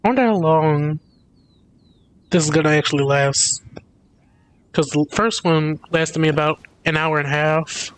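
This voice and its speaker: Male game streamer, somewhat high-pitched, loose rambling chat, thinking out loud. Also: steady tone, unhurried, pauses often, clean tone. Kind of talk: casual livestream chat.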